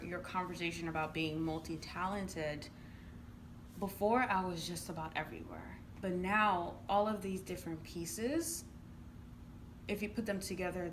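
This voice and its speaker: Low-voiced woman from Uganda, noisy recording. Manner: earnest, explanatory, often ending points with "right?". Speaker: interviewed entrepreneur and youth programme coach. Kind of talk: conversational interview answers. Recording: clearly noisy room ambience.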